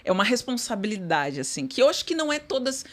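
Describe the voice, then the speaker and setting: A middle-aged woman, a guest speaking thoughtfully in an interview, often pausing and restarting with "né". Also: mid-range voice, very animated. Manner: thoughtful, many fillers and restarts, says "né". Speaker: guest executive. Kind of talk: conversational interview.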